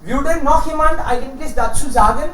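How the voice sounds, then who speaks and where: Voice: sits high, normal speed, fairly bright tone. This is the host of a German-learners' chat group on a video call. The delivery is formal and encouraging, with occasional restarts. The sound is roomy and distant, with faint background hiss. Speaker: host of an online German conversation club. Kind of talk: moderating a language-learner chat. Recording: echoey, distant call audio with faint noise.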